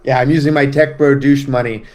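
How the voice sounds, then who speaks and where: A man's voice: mid-range voice, steady tone, a little fast, darkish tone. A man talking as an interview guest in a blunt, brash, profane way. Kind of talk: casual conversational interview.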